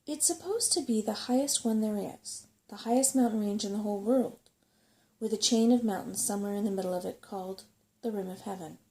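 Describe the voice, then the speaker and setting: A blunt little brownie girl from a children's storybook, read by a woman. She sounds informal and impatient, with a mellow tone and some creak. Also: medium pitch, a little fast, lightly acted. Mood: hesitant.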